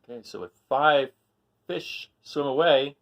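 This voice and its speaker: A man reading a picture book aloud to children, pausing to count along and quiz his young listeners. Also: mid-range voice, fairly bright tone, highs cut off.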